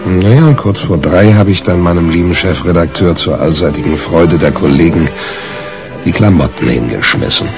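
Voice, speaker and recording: An actor playing a brash, hungover newspaper reporter in a radio crime drama, colloquial and sardonic. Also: male voice, sits low, moderate pace, music in the background. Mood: sardonic narration. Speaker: main character and narrator.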